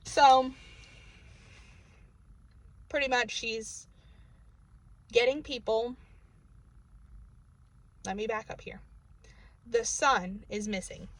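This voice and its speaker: A woman's voice: fairly high pitch, drawn-out words, long pauses, rough recording. A woman from New England with a local accent, casually reviewing a book from inside her car, rambling with lots of fillers. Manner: rambling, filler-heavy, many "like" and "um". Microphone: camera in the car.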